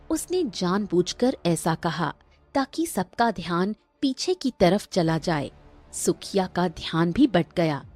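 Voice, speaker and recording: A woman narrating a scripted cartoon story in a dramatic, measured way. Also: fairly low pitch, darkish tone.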